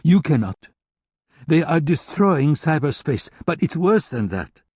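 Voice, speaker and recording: A man voicing an agitated German male hacker who rants with contempt, husky-voiced. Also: on the high side, big pitch swings, unhurried, a little mellow, dry recording, clean studio sound, pitched above the performer's own voice, exaggerated voice. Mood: impassioned.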